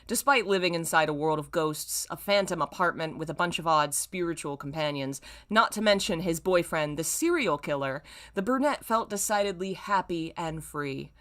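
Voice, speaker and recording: A woman's voice: lowish voice, normal speed, fairly bright tone. A young adult woman hosting a read-aloud of fan fiction, delivered theatrically with playful, exasperated casual asides. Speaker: host reading old fan fiction aloud with commentary.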